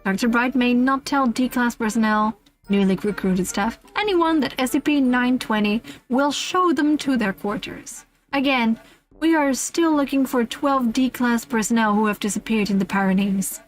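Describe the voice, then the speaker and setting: A woman streamer reads aloud with an amused, casual delivery. Her voice sits a little high, and she slips playful asides into formal wording. Soft music plays underneath. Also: moderate pace. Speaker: streamer reading a humorous list aloud. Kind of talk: casual livestream read-aloud.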